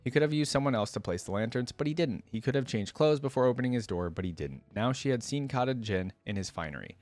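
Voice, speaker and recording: A man's voice: medium pitch, lively intonation, quick pace, a little mellow, no echo. A man hosting a reaction video, informal in manner, moving between reading a story aloud and casual commentary.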